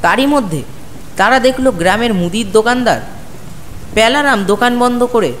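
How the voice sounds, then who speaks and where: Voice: medium pitch, normal speed, fairly bright tone, a little hiss or hum. A narrator reading a scripted ghost story with dramatic storytelling delivery.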